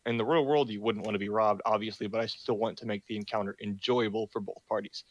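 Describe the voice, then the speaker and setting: Young man, low flat voice, dry close mic, reads server rules over voice chat, lots of ums. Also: no echo, rough recording. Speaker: meeting host for a roleplay community. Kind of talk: reading rules aloud in a voice chat meeting.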